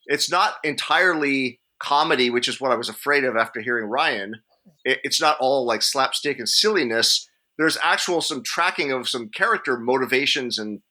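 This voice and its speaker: A man on a podcast panel talking casually through his measured opinions in a rambling, hedging way. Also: on the high side, bright, forceful tone. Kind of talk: casual movie discussion.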